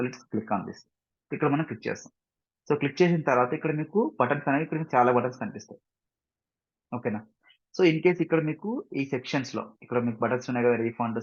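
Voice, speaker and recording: A man with an Indian accent giving an informal step-by-step software tutorial as instructor, mixing in English phrases and often checking understanding with short questions. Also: mid-range voice, husky. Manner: mixes English phrases into his explanations. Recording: dull, muffled lo-fi microphone.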